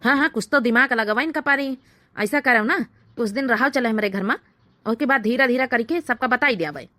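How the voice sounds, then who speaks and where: A woman's voice: fairly high pitch, a little fast, sharp tone, no echo. A woman voicing a sneaky, short-tempered village husband in a comedy cartoon, with a brusque, rustic Awadhi accent. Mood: furtive.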